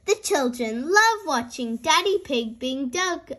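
A woman reads a children's storybook in a very high voice. She takes her time, placing each phrase deliberately, and her pitch moves in lively swings for young listeners. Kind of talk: slow, expressive picture-book read-aloud for young children.

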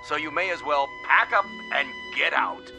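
An actor playing a smooth-talking male radio disc jockey in a radio drama. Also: a little high, normal speed. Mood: sweetly menacing. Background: music behind the voice.

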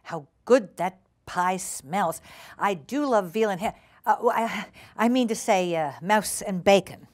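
A woman's voice: mid-range voice, a little slow, no echo, natural voice. A woman voicing an anxious female dog from a storybook, overpolite and flustered, with a stammering, hesitant manner.

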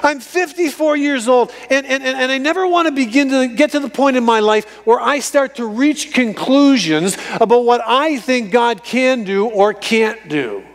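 A man in his fifties preaching a sermon to a congregation in an animated way, repeating phrases for emphasis. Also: extremely high pitch, moderate pace, few pauses. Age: fifties, fifty-four. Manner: animated, emphatic repetition for effect. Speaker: guest preacher. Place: large church sanctuary, on stage before congregation. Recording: reverberant church hall.

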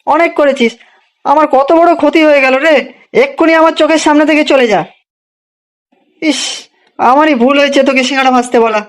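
Cartoon part: busy, quick-tempered village shop man played by a woman, high-pitched, expressive. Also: lightly acted. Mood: angry, dismayed. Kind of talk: children's cartoon dialogue.

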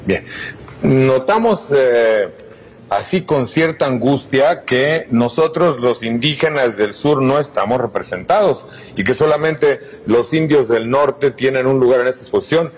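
A man's voice: mid-range voice, animated, measured pace, rough recording. An older man hosting a TV interview. He asks probing questions and often interjects. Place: indoor art gallery room, seated.

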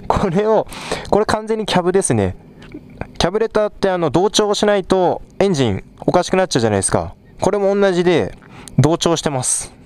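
A man's voice: high voice, very animated, brisk, a little mellow. A young man in a small rehearsal studio, casually explaining his instruments while he plays them. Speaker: vlogger. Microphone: small clip mic on a head-mounted camera. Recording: live drums and guitar behind voice.

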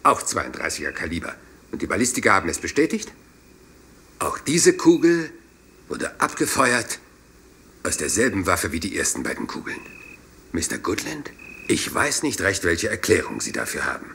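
An actor in a radio play playing a rumpled, courteous police inspector who apologizes and meanders doggedly. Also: male voice, sits low, huge pitch swings, normal speed, vocal fry, bright, forceful tone, slight background noise, full character voice. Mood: methodical.

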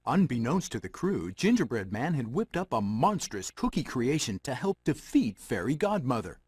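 A man's voice: mid-range voice, very animated, moderate pace, darkish tone, breathy edge, no room sound, studio quality. A man voicing a wry, playful magic mirror who narrates a storybook in a video game.

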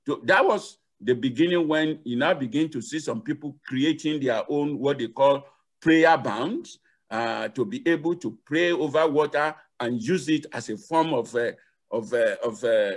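An older man explaining as a guest lecturer, emphatic and repeating key phrases, on a video call. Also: on the high side. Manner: emphatic, repeats key phrases, asks and answers own questions. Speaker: university lecturer, guest expert. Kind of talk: explanatory interview talk. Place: indoor room at home. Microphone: headset on a video call.

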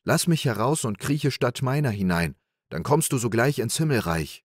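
A man voicing a clever, plucky young peasant in a storybook reading, talking in a raised, lively, boastful way. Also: mid-range voice, normal speed, dry recording, clean studio sound, pitched above the performer's own voice. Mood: pleading.

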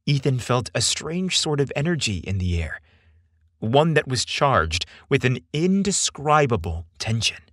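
Slow, expressive male storyteller with husky voice. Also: medium pitch, no room sound, clean studio sound. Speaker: podcast storyteller. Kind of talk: scripted narration, dramatic storytelling.

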